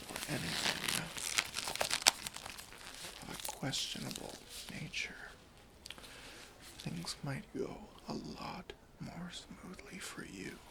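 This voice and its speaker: Slow, whispering, stern airport security officer, acted out. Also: mid-range voice. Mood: suspicious, probing. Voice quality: breathy whisper with creaky, gravelly edges. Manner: slow, deliberate, officious questioning. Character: stern, suspicious airport chief security officer interrogating a traveller. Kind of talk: whispered ASMR roleplay.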